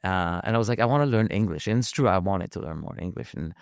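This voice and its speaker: Low-voiced man telling his story. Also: expressive, gravelly, clear, resonant tone, no room sound, clean studio sound. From Lebanon. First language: French. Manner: halting, many restarts and fillers, swears casually. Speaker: podcast guest. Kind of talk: casual storytelling.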